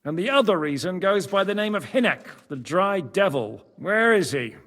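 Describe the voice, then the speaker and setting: A reverberant stone-hall sound surrounds this blunt former bandit in a game cutscene. He is a wry, frank man with a voice pitched a little high. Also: expressive, normal speed, a bit bright. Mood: impatient. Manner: frank, sardonic. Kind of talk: dramatic video game cutscene acting.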